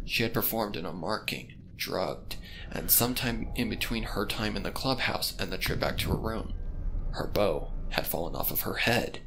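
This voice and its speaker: A man's voice: low voice, vocal fry, sharp tone, slight background noise, rough recording. A man reading scripted, dramatic narration for a fan fiction story.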